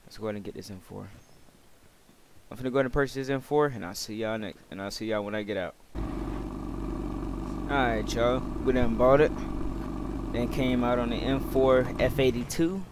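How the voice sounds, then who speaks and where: A man's voice: mid-range voice, normal speed, long pauses. A young man giving casual, slangy, profane gameplay commentary, often muttering to himself as he plays. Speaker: gamer recording gameplay video. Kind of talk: casual gaming commentary, roleplay. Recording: loud game engine and street noise. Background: video game car and city sounds.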